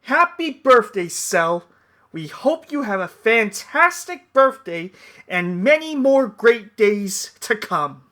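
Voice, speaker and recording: A man's voice: sits high, very animated, slow pace, pushed and bright. A man speaking as host in a cheerful birthday message. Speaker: host, dub organizer.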